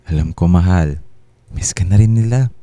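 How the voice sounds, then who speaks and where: A man playing a patient, reassuring husband and father in a radio drama, speaking gently. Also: low-pitched, moderate pace, clean tone, no room sound.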